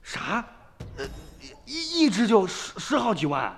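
A man's voice: sits high, huge pitch swings, unhurried, gravelly, big-room sound, exaggerated voice. An actor playing a flustered rural man hiding a secret in a radio drama, stammering and jumpy.

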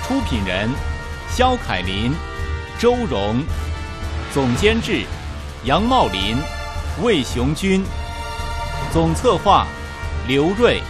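A man's voice: a little high, huge pitch swings, takes it slowly, pauses often. A man announcing a radio drama and its credits in a formal, scripted style. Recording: clear music behind the voice.

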